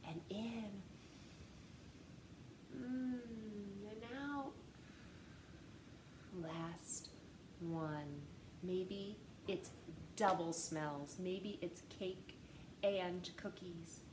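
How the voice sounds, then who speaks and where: A woman in her thirties reading a picture book aloud to children in an expressive, gentle way, seated on a couch at home. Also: fairly low pitch, extremely slow, pauses often. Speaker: storytime reader. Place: living room, seated on a couch. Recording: echoey room with faint hiss.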